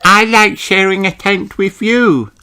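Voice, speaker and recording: Woman doing a squeaky, stubborn little lamb girl. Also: background noise, lightly acted. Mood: cheerful. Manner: childlike, drawn-out emphatic words. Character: Susie, stubborn competitive little girl sheep. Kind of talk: children's storybook character voice.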